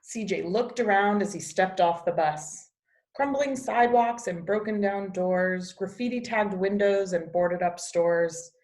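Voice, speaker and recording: A woman reading a children's picture book aloud as a teacher, with expressive storybook narration. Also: mid-range voice, measured pace. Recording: echoey, roomy sound.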